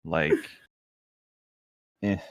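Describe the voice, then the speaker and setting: A man's voice: extremely slow, no echo, clean studio sound. A man co-hosting a podcast in casual chat, commenting along as a book is read aloud. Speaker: podcast co-host.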